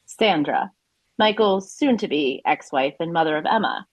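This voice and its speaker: A woman's voice: lowish voice, animated, a little slow, no echo. A woman narrating an audio play from a script, setting scenes and introducing characters.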